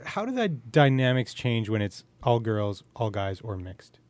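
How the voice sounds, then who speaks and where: Male podcast host, mid-pitched, informal and jokey interviewer, close and dry sound. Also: big pitch swings, measured pace, a little mellow, dry recording. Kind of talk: casual joking interview chat.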